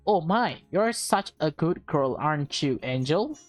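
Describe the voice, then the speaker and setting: A woman's voice: low-pitched, expressive, unhurried, no echo, soft background music. A woman voicing a doting, teasing mother in a visual novel, cooing fondly to a child. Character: doting, teasing mother talking to small daughter.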